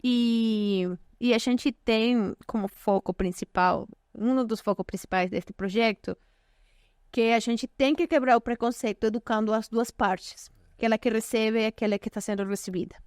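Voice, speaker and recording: A woman's voice: fairly high pitch, fairly even intonation, normal speed, clean tone, no room sound, clean studio sound. Chatty Brazilian-Portuguese woman host. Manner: warm effusive thanks, frequent fillers. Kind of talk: casual interview chat.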